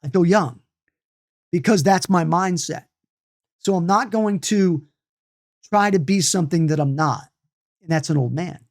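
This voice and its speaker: Late-fifties man teaching energy healing, unhurried. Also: high-pitched, darkish tone. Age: 50s. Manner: loose, repetitive, many restarts and asides. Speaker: meditation and healing instructor. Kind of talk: spoken lesson on energy healing.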